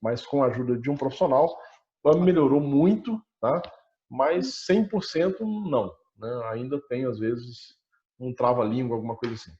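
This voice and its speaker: Mellow man, hesitant with slight stutter. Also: mid-range voice, animated, moderate pace. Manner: hesitant, repeating words, mild stutter. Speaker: coaching client. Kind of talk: casual personal account. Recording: dull lo-fi online call audio.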